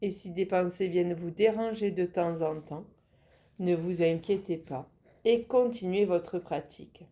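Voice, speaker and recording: A woman leading a guided yoga nidra relaxation as an instructor, giving calm, measured instructions. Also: fairly low pitch, muffled recording.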